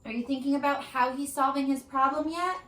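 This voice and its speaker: A woman reading a children's story aloud in an expressive, teacherly manner. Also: sits high, fairly quick, roomy sound. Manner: expressive, asks the young listeners questions.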